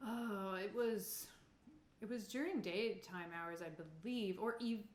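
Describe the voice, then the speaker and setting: A woman's voice: medium pitch, takes it slowly, natural voice. Woman playing an anxious, rambling theatre owner, echoey. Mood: worried, unsure. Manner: hesitant, rambling, self-correcting. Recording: roomy, echoey sound.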